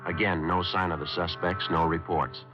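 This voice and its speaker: An actor playing a terse police sergeant who narrates his cases in a clipped, flat deadpan, from a muffled 1950s radio drama. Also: low voice, normal speed, music in the background, lightly acted. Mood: matter-of-fact.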